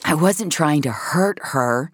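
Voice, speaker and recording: A woman puts on an older male agent in an audio drama, a cold betrayer with regret in him. The voice is very deep, grave and unhurried. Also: lively intonation, dry recording, studio quality, heavily acted. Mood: regretful. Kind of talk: dramatic audio fiction acting.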